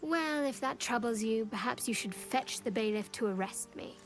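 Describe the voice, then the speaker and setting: An actress playing a guarded, devout young priestess of a dark goddess in a video game, measured and secretive, solemn with a dry edge. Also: female voice, fairly high pitch, expressive, faint music behind. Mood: cautious, defiant. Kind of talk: video game character dialogue.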